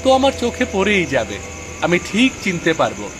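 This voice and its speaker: A man's voice: high-pitched, wildly swooping pitch, fairly quick, noisy background. A man playing an earnest young village son in a cartoon story, speaking expressively. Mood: casual, reassuring. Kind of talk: animated cartoon story dialogue.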